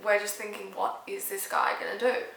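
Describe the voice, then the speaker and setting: Gravelly-voiced casual woman. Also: mid-range voice, steady tone, moderate pace, a bit bright, echoey room, poor audio. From Sydney, Australia. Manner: casual, uses 'like' often. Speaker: storyteller. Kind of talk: personal story told to camera.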